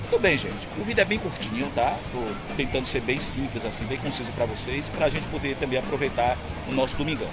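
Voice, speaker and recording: Lively male teacher. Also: medium pitch, fast talker, hardly any pauses, loud music behind the voice. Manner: asks and answers his own questions. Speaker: Portuguese teacher. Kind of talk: casual outdoor grammar lesson. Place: outdoors at a beach.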